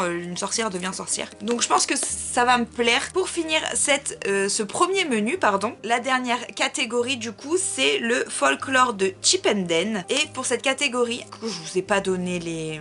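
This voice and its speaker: A woman's voice: on the high side, fairly bright tone. A woman book vlogger talking casually and informally to her viewers, with chatty, self-deprecating asides. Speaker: book vlogger presenting a reading challenge.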